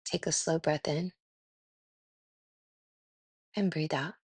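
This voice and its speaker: A woman leading a guided meditation in a gentle, soothing, unhurried way with pauses. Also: deep voice, steady tone, husky, no room sound.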